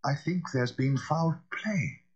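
A man acting an anxious, hoarse old butler. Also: fairly high pitch, expressive, takes it slowly, pitched above the performer's own voice, exaggerated voice. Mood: frightened. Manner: deferential, urgent. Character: anxious loyal old butler, fearful for his master. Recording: slightly dull, lo-fi audio.

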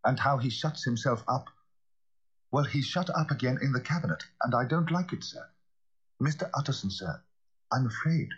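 An anxious old manservant in a storybook, voiced by a male narrator, deferential yet urgent. His voice is husky and lifted, swinging with worry, on a slightly dull recording. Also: mid-range voice, animated, moderate pace, pauses often, voice pushed up. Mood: fearful, troubled. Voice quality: hoarse. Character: anxious loyal old butler, fearful for his master.

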